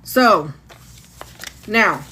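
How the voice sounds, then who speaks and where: A woman's voice: mid-range voice, animated, very slow, bright, forceful tone, slight background noise. A woman with a country accent hosting a casual tarot livestream, chatting with her viewers. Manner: chatty, talks to her chat. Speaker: tarot reader livestream host. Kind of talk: casual live chat.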